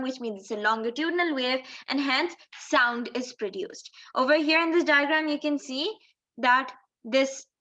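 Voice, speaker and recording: Woman, high-pitched voice, teacher, step-by-step tutorial narration, rhetorical questions, dull lo-fi recording. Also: sharp tone. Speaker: physics teacher. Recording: dull lo-fi microphone sound.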